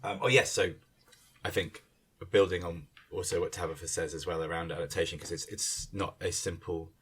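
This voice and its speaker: A man's voice: deep voice, fairly even intonation, normal speed, fairly bright tone, breathy edge, recorded from a distance. A man, a theatre director, reflecting on creative work in a hesitant, circling, thoughtful way.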